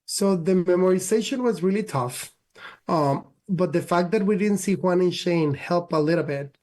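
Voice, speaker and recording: A man's voice: sits high, darkish tone. A man whose first language is Spanish, a guest chatting casually in an interview and dropping "like" often. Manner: quick, filler-heavy speech with many "like"s. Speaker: race winner guest.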